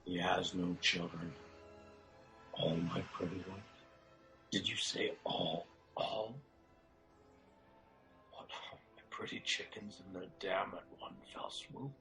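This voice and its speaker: Slow, creaky-voiced nobleman, acted by a man. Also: deep voice, fairly even intonation, pushed and bright, faint music behind, exaggerated voice, rough recording. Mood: grief-stricken. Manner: slow, emotional, dramatic verse delivery. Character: Macduff, a grief-stricken Scottish nobleman turned vengeful. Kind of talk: Shakespearean radio drama acting.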